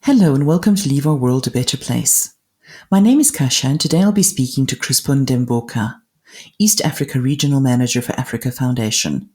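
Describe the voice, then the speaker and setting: A woman's voice: a bass voice, a little fast, dark tone. A woman hosting a podcast interview, welcoming her guest and asking friendly, polite questions with some fillers. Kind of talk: hosted interview.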